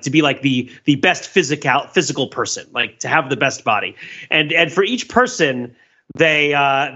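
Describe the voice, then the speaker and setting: A man's voice: on the high side, pushed and bright, lo-fi sound. A man co-hosting a casual podcast, explaining things in a rambling way and often interrupting himself. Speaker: podcast co-host.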